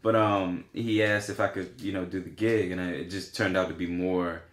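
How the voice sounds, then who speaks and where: A man's voice: deep voice, fairly even intonation, moderate pace. A man, chatting casually on a podcast, rambling with many fillers and restarts. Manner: rambling, hesitant, lots of fillers. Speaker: podcast co-host. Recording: roomy echo.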